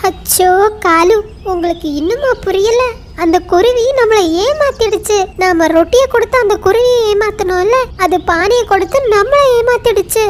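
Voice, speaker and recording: A woman's voice: very high voice, normal speed, a little hiss or hum. A woman voicing a greedy, bossy female crow in a children's cartoon story. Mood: indignant.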